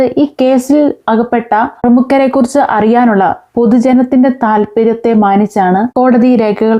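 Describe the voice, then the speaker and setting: Woman doing an explainer video, highish voice, kinda muffled lo-fi sound. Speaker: video host. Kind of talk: explainer vlog addressed to viewers. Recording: dull, lo-fi sound.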